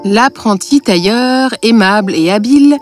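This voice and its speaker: A woman narrating a scripted fairy tale, with a deadpan streak. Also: fairly high pitch, unhurried, faint music behind.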